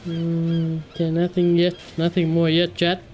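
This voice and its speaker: A man's voice: fairly high pitch, completely flat delivery, measured pace, darkish tone, highs cut off, slight background noise. A man live streaming a game, chatting casually and giving opinionated asides, often repeating his phrases.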